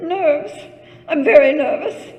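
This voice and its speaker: A frightened, self-pitying invalid in 1940s radio melodrama. Her voice is extremely high and slow, full of imperious, stammering fretfulness, and it rings with long reverb in an echoing hall. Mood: demanding, agitated. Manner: stammering, breathless, fretful and demanding.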